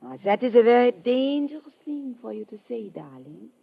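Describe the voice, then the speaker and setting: High-voiced, seductive radio villainess. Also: female voice, very animated, moderate pace, creaky. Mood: cool menace. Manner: theatrical, formal, menacing endearments. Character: cold, seductive female villain, sabotage ring boss with a gun. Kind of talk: 1950s radio drama acting. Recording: dull lo-fi old radio recording.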